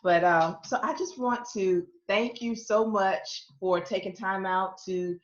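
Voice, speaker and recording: A woman's voice: mid-range voice, a little slow, a little hiss or hum. Woman interview host on a video call, affirming, lots of "yes" and "awesome"; muffled, echoey audio. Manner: warm-up chatter, affirming with short interjections. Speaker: podcast host, author.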